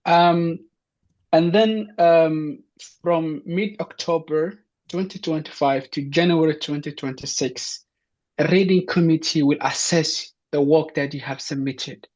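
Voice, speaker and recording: A man's voice: a little high, steady tone, measured pace, darkish tone. Man from Somalia, filler-heavy talk. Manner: hesitant, many fillers and restarts. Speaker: presenter. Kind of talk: informational talk. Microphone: video call. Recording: dull lo-fi online session.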